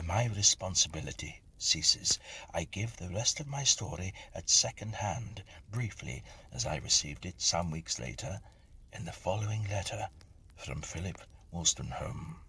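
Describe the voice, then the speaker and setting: A man with a gravelly voice reads a Victorian ghost story as a measured, solemn narrator. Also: deep voice, pushed and bright, a little hiss or hum. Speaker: reader and narrator of ghost stories.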